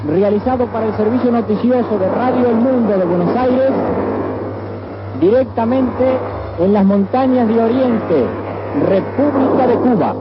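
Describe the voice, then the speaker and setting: A man's voice: extremely high pitch, measured pace. A man giving a formal, declamatory radio news report in an old broadcast style. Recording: very noisy lo-fi archival radio broadcast.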